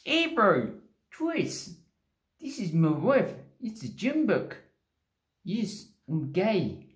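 A man's voice: high-pitched, huge pitch swings, very slow, pitched above the performer's own voice, heavily acted. A man voicing a goofy, sheepish man whose accent wobbles and drifts as he talks. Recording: echoey small bathroom.

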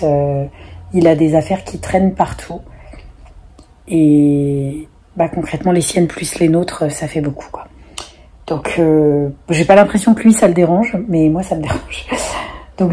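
A woman's voice: fairly low pitch, dark tone, slight background noise. A woman in her forties, chatting casually to camera from her sofa, stuffy-nosed from a cold, rambling with many fillers. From France. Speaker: family vlogger, single mother. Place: living room on a sofa, window open to a busy street. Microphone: camera at close range.

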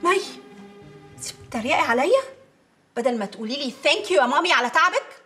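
A woman's voice: high-pitched, animated, fairly quick, bright, forceful tone, soft background music. A woman playing an exasperated, scheming Egyptian mother and wife in a TV comedy-drama, with a dramatic, complaining manner. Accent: Egyptian Arabic. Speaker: actress. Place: furnished home interior, film set.

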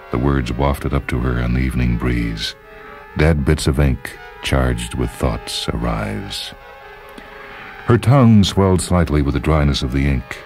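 A man narrating a literary story in a measured, theatrical manner. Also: very deep voice. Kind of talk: dramatic literary story reading.

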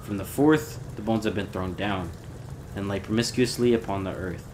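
A man reading a gothic horror story aloud in a measured, theatrical way. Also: lowish voice, noisy background. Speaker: audiobook reader.

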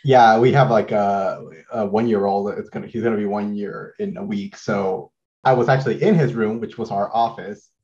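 Low-voiced Mexican-born man on a call. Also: dull audio. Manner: relaxed, halting, many fillers and restarts. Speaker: guest artist. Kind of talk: casual interview over video call. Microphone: video call.

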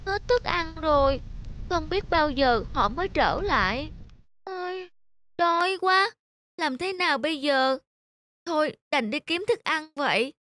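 Very high-pitched childlike young swan. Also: female voice, expressive, measured pace, heavily acted. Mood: miserable. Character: vain, lazy young pink swan, carefree and greedy. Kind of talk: animated fairy-tale acting. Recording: loud music behind the voice.

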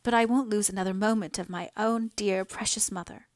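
A woman's voice: medium pitch, fairly even intonation, brisk, dry recording, natural voice. Devoted, impulsive young daughter for storybook drama played by a female reader: heartfelt, imploring delivery, close dry sound. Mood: anguished. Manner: earnest, pleading, fervent. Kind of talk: dramatised audiobook dialogue.

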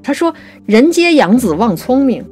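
A woman with a lively, expressive delivery talks through classical literature as a programme co-host. She explains in a conversational way and slows into measured recitation for quoted lines. Soft music plays underneath. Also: high-pitched. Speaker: writer and co-host of a poetry programme.

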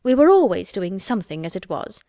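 A woman voicing an officious, self-important older widow who talks insistently and loudly. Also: mid-range voice, very animated, fairly quick, dry recording, studio quality. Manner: insistent, loud, fussy.

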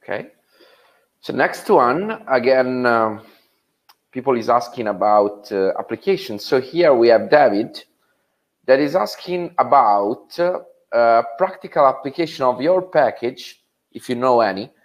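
A man chairing an online session, reading audience questions aloud in a measured way over a video call. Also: mid-range voice, expressive. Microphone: video call webcam.

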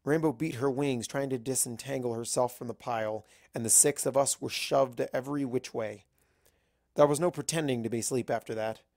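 A man's voice: medium pitch, a little mellow, no room sound, clean studio sound. A man reading a first-person story as a scripted narration. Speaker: fan fiction narrator.

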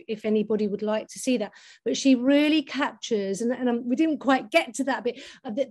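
A middle-aged woman speaking over a laptop webcam from a room at home. Her voice sits a little high, and she talks earnestly, circling back and repeating words as she works out her points for an academic audience. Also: darkish tone. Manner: earnest, restarts and repeats words, gestures while talking. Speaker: keynote speaker leading the session. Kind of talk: academic online talk. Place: home room with bookshelves and window. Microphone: laptop webcam on a video call.

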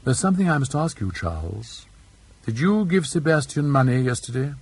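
A man's voice: medium pitch, sing-song, moderate pace, a little mellow, husky. A man voicing a gracious, controlled aristocratic mother in an audiobook, politely restrained, with Received Pronunciation. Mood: probing. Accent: English Received Pronunciation.

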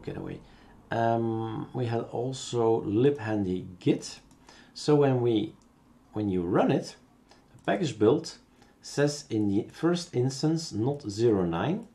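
Low-pitched Belgian man with creaky voice. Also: mellow. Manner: unscripted, thinking aloud while working. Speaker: software tutorial presenter. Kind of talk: casual screencast tutorial.

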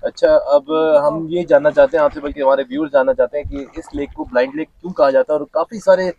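A man's voice: a little high, animated, fast, creaky, a little mellow, muffled recording. A man on a family lake trip, chatting casually and teasing the others outdoors. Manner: joking, teasing. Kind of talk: casual chat.

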